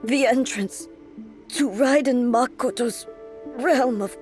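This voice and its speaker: A woman voicing a solemn, regal warrior deity in a video game, speaking in a formal, measured, composed way. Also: fairly high pitch, a little mellow. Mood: resolute. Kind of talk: video game character voice acting. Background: music.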